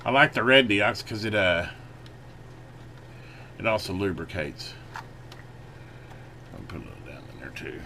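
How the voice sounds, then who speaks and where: A man giving a casual hands-on repair demonstration, folksy and unhurried as he talks while working. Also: on the low side, big pitch swings. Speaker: channel host doing electronics repair. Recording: noisy room, clearly audible background noise.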